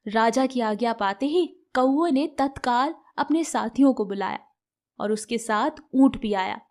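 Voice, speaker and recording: A woman's voice: high-pitched, a little mellow. A woman narrating a scripted animal fable for children as a storyteller.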